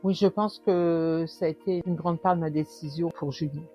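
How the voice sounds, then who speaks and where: A woman's voice: lowish voice, steady tone, mellow, faint music behind. Hesitant woman in her fifties. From northern France. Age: 50s. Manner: hesitant, many pauses and restarts. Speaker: romance author, guest. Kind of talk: casual interview chat.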